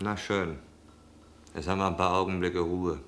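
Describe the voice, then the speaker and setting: Low-voiced, grumbling onlooker. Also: male voice, steady tone, moderate pace, fairly bright tone, a little hiss or hum. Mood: dry disdain. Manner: dry, chatty, disapproving. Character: grumbling, disdainful male onlooker in a bar. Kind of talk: conversational radio drama acting.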